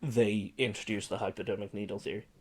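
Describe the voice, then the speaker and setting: Guy with a lowish voice on a film podcast, jokey, casually explaining movie trivia. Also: fairly even intonation, fast talker, slightly rough.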